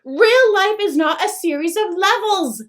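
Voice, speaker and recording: A woman voicing a furious, exasperated middle-aged mother who yells and scolds. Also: on the high side, lively intonation, moderate pace, lightly acted. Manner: yelling, sharp, scolding.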